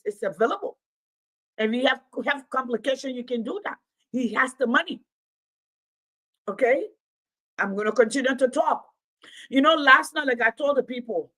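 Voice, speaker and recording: A woman's voice: sits high, a bit bright, rough recording. A woman in her late fifties giving impassioned livestream commentary, preaching in repeated rhetorical build-ups. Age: 50s. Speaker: commentator and Pan-Africanism teacher.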